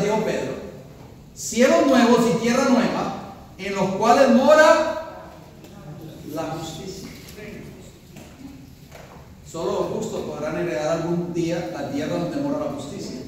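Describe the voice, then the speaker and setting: A man preaches a sermon, his voice ringing through a large hall with long reverb. He sits high in pitch and heard from a distance, swelling to emphatic peaks and quizzing his listeners. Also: lively intonation, a little slow, pauses often, a little hiss or hum. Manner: preaching with rising emphasis, asks the congregation questions. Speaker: pastor. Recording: echoey hall, voice from far off.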